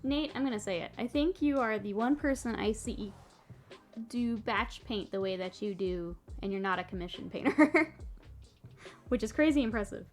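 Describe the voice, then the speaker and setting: A woman's voice: medium pitch, expressive, normal speed, soft background music. A woman in her thirties with a mixed northern US and Texas accent, chatting casually on a livestream while she paints miniatures, reading chat aloud and laughing often.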